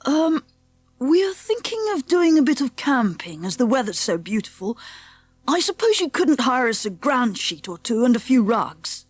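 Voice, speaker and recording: A woman's voice: high voice, big pitch swings, normal speed, breathy edge, dry recording, exaggerated voice. A woman voicing a confident, polite schoolboy who leads his friends in a children's audiobook. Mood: polite, decisive. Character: confident, polite schoolboy leader, eager and decisive. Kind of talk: children's audiobook character acting.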